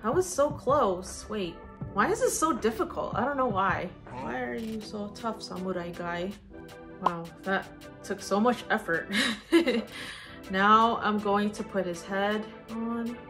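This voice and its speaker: A young woman talks in a leisurely, casual way, as if to online friends. She is a vlogger who gushes over cute things and addresses objects like little buddies. Her pitch sits in the middle for a woman, and music plays clearly behind her. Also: measured pace, a bit bright. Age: young adult. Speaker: vlogger unboxing collectible figures. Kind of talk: casual chat to viewers. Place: indoors, living room at home. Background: background music.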